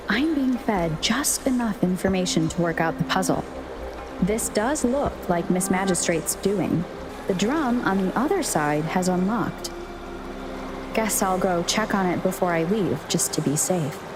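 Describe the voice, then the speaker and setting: A woman voicing a calm, analytical young adventurer in a video game, musing to herself in a measured, matter-of-fact way. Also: mid-range voice, lightly acted. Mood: pondering, composed. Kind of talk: video game voice acting, inner monologue.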